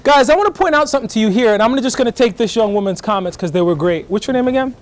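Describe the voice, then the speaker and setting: A middle-aged man giving a lively college lecture in fast bursts, often checking whether the class is following. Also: very high voice. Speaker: guest lecturer, professor.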